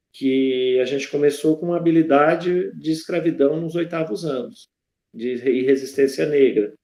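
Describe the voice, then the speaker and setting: A Brazilian man speaking informally as a teacher on an online panel, in halting, colloquial, loosely strung phrases, with a roomy sound. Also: fairly high pitch, mellow. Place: indoors, speaking in an online live stream.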